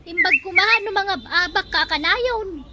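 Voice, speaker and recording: A woman's voice: very high-pitched, normal speed, heavy background noise. A woman with an Ilocano accent acting in a comedy sketch, chiding. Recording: loud music behind.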